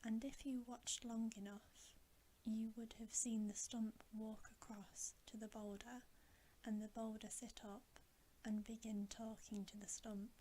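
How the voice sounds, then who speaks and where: A woman reading a bedtime story in a soft, breathy ASMR whisper, gently and with pauses. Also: on the high side, little pitch movement, slight background noise, rough recording. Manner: slow, gentle, unhurried delivery with pauses.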